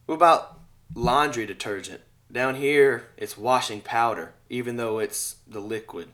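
A man with a drawl hosts a relaxed podcast. His voice sits at an ordinary middle pitch. He rambles through his points and chuckles between remarks. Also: unhurried. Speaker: podcast host. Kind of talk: casual interview chat.

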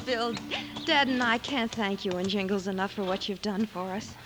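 A woman's voice: medium pitch, moderate pace, loud music behind the voice, close to an everyday voice. A woman acting an anxious, earnest young ranch daughter in an old radio western. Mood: grateful. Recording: noisy old radio recording.

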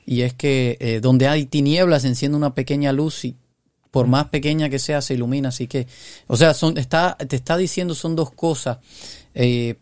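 A man's voice: medium pitch, steady tone, moderate pace, darkish tone, dry recording. Male co-host, earnest and teaching, recorded dry and close. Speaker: podcast co-host.

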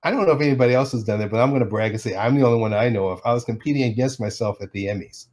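A man's voice: mid-range voice, racing, darkish tone. A middle-aged man from Detroit, an animation artist, telling stories in a casual interview, acting out quotes and laughing often.

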